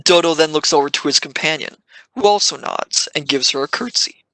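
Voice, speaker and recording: A man's voice: fairly high pitch, a little fast, bright, forceful tone, no room sound, low-quality recording. A man reading scripted scene narration for an audio drama.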